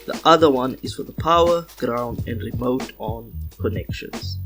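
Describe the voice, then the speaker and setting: A man reading a scripted product unboxing voiceover in a measured, instructional way, recorded on a small external car-radio microphone. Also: medium pitch, music in the background. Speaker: host of a car audio channel. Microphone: small external car-radio microphone, cleaned-up voiceover.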